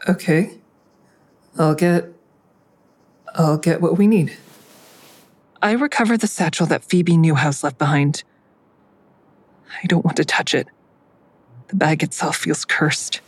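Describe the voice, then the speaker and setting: An actress playing a hesitant, guilt-ridden grown daughter who narrates and confesses plainly in an audio drama. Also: female voice, sits low, takes it slowly, long pauses, breathy edge, heavily acted. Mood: subdued, reluctant. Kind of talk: audio drama acting with first-person narration.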